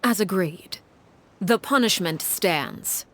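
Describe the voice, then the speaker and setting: An actress playing a stern, composed female head of a secretive orphan house, speaking in a measured, formal, controlled way in a game. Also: fairly low pitch, animated, soft background music, heavily acted. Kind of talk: scripted game dialogue.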